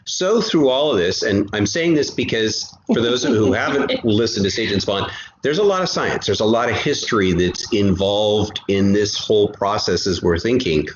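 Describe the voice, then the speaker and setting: Guy with a lowish voice explaining stuff in an interview, earnest, repeats himself, echoey noisy recording. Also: expressive, moderate pace. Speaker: guest, co-creator of an audio drama podcast. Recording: roomy, dull, noisy amateur recording.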